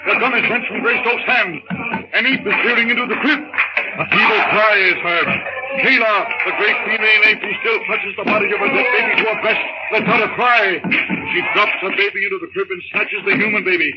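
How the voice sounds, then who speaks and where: An actor playing a solemn, declamatory narrator of a jungle adventure in a 1930s radio drama. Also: male voice, high-pitched, fairly even intonation, brisk, natural voice. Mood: urgent. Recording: noisy, dull scratchy 1930s radio recording.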